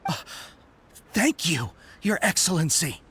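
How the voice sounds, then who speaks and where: Zealous young soldier in a game, very earnest n respectful, pitch moves all over, music behind. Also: very animated, slow, fairly bright tone, breathy edge, exaggerated voice. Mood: bewildered. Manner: earnest, formal, deferential. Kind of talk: video game voice acting.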